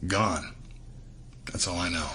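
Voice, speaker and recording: An actor playing a shaken, gruff senior law-enforcement boss in an audio drama, terse and halting. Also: male voice, low voice, bright, forceful tone, a little hiss or hum, heavily acted.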